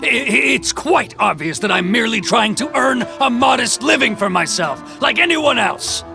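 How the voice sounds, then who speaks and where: Greedy blustering bureaucrat for a video game: high-pitched, swinging intonation, indignant and self-justifying. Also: male voice, expressive, moderate pace, vocal fry, heavily acted. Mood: defensive. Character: greedy, corrupt, blustering male tax official. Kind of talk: video game cutscene acting. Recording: music under the voice. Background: background music.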